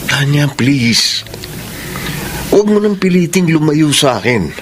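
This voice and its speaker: Mapilit na mangingibig, mataas ang boses. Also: male voice, a little high, animated, unhurried, background noise. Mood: pleading, insistent. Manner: urgent, insistent, dramatic. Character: intense, protective, insistent lover of a troubled woman. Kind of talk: Filipino radio drama acting. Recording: music behind the voice.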